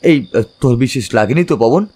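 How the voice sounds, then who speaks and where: A man voicing a young village man, a familiar friend, in brisk questioning dialogue for an audio horror story. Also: medium pitch, animated, darkish tone, husky. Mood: anxious, concerned. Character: young village man cycling at night, teasing, caring friend.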